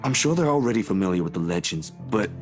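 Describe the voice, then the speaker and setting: A man's voice: lowish voice, animated, fast talker, over music, close to an everyday voice. A man voicing an earnest young male reporter who asks polite questions.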